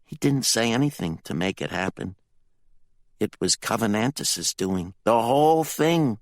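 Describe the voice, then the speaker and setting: A man's voice: lowish voice, animated, unhurried, no echo, pitched above the performer's own voice. A man voicing a rattled male companion who hurriedly tells what he saw. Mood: earnest. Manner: urgent, breathless retelling.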